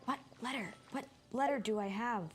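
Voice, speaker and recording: A woman's voice: fairly high pitch, normal speed. Woman streamer chatting over game music. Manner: chatty, thinking aloud. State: tired. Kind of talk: casual live gameplay commentary.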